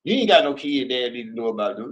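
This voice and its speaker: A man's voice: medium pitch, very animated, quick pace, dull audio. A middle-aged man hosting a casual talk with his son, chatty and teasing, often stumbling and repeating words. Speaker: host.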